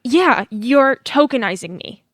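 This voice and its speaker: Sarcastic high-voiced young daughter. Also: female voice, expressive, measured pace, no room sound, clean studio sound. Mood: indignant. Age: young adult. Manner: sarcastic, confrontational. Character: bitter, sarcastic young adult daughter of a politician. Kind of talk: audio play acting.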